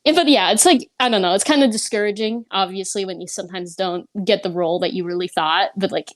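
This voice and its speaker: A woman's voice: mid-range voice, fast, dry recording. A young woman voice actor chatting casually as a podcast guest, rambling with lots of fillers and frequent giggles. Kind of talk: casual chat.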